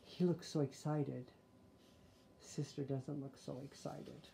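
A woman reads a children's storybook aloud, deliberate and expressive, with chatty asides. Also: a bass voice, creaky, a little hiss or hum. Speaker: storybook reader. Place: small living room, indoors.

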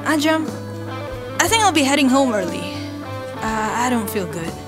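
A young woman voicing a curious, chatty young musician in an animated comic series, with loud music playing behind her. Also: on the high side, normal speed. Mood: uneasy. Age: young adult. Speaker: singer-songwriter lead character.

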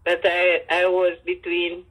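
An elderly woman with a Jamaican accent and husky voice, telling personal stories with emphatic repetition and Creole phrasing. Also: on the low side, monotone, measured pace, a bit bright, rough recording. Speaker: interviewee, grandmother. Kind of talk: personal storytelling in an interview.

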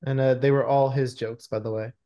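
A man's voice: mid-range voice, steady tone, a little fast, mellow. A man in his thirties talking casually as a screenwriter guest in an interview on a video call. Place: room at home, indoors.

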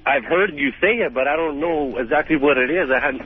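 A man's voice: fairly high pitch, brisk, husky. A man calling in to a radio show, chatting casually over the telephone. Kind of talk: casual chat. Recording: noisy, thin phone line.

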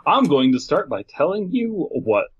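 A man's voice: on the high side, big pitch swings, normal speed, breathy edge, dry recording. Man podcasting, slightly muffled dry sound. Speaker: podcast host and story reader. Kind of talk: joking casual chat with some reading aloud.

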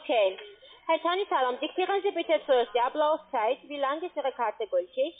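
A woman whose first language is not German, pitching an investment over the phone in halting, broken phrases. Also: animated. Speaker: scam call center agent. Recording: tinny phone line.

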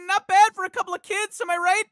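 An anxious teenage boy for a video game, his voice pitched very high and bright, and he keeps stumbling over his words in a jittery stammer. It sounds like a dry studio recording with no room around it. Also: little pitch movement, a little fast, no room sound. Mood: jittery, excitable. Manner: stammers and repeats words, cracks into yelps. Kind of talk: game voice lines. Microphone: studio microphone.

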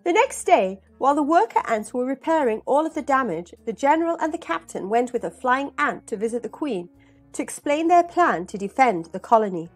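Woman storyteller, middle-aged, high pitch, very animated, expressive, faint music. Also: brisk. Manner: expressive, addressing children directly. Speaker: storyteller and host. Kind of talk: children's storybook read-aloud. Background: background music.

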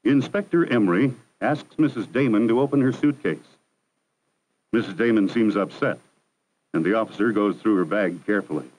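A man with a fairly low voice narrates a 1960s educational film. His delivery is measured, formal and instructive, the steady voice of a scripted documentary. The sound comes from an archival film soundtrack. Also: slight background noise, rough recording.

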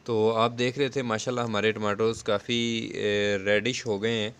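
A man's voice: on the low side, little pitch movement, moderate pace, fairly bright tone, clear, resonant tone. A man giving a casual, explanatory gardening vlog narration in a conversational, repetitive way. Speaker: home gardening video host.

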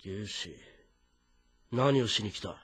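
A man's voice: on the low side, slow pace, breathy edge, no echo. A man playing an anxious, weary fortress commander of ancient China in a dramatic, earnest, brooding way. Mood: guarded, urgent. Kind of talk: dramatic game voice acting with inner monologue.

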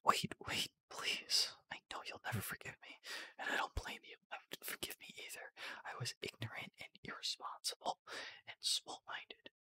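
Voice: moderate pace, pushed and bright. Reader whispering as an earnest young female rabbit. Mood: remorseful, pleading. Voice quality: breathy whisper.

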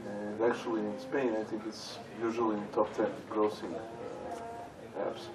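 Man, halting conference talk, flat lowish voice, crowd murmur in a large hall. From Serbia. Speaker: conference panelist. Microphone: stage microphone heard from far off. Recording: echoey hall, distant, audience noise.

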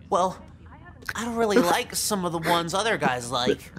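A woman's voice: lowish voice, expressive, unhurried, a bit bright, a little hiss or hum. A woman voicing a shy, socially awkward teenage boy obsessed with anime, hesitant and mumbly, in a visual novel. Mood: shy, nervous. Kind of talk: visual novel game voice acting.

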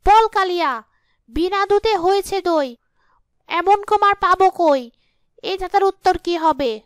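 Woman voicing a shrill, menacing ghost woman. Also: unhurried, no room sound. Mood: commanding. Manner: scolding, threatening, commanding. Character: menacing, bossy female ghost in a cave. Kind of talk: Bengali cartoon fairy-tale character acting.

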